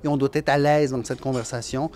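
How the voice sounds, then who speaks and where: A man's voice: medium pitch, steady tone, a little fast, a little mellow. A man in his fifties, a conference speaker, giving an interview answer. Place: large hotel conference hall. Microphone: handheld reporter microphone.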